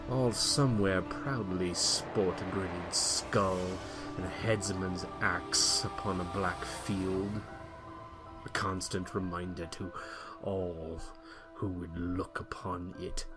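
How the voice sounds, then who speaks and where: A man reading a pompous aristocratic monologue in character, with dramatic pauses. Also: fairly low pitch, fairly bright tone. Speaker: podcast host and player. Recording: music bed behind.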